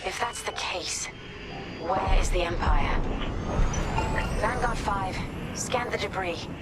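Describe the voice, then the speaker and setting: An actress playing a calm, watchful squadron pilot woman in a video game, speaking in clipped military phrases. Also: medium pitch, slow, natural voice. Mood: wary, focused. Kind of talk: cinematic video game voice acting. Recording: loud music over the voice.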